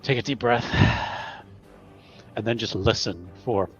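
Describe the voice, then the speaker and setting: A man's voice: fairly low pitch, slow, fairly bright tone. A man casually narrating his character's actions in a tabletop roleplaying game, hesitant and repeating phrases. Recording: slightly dull lo-fi audio. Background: faint music.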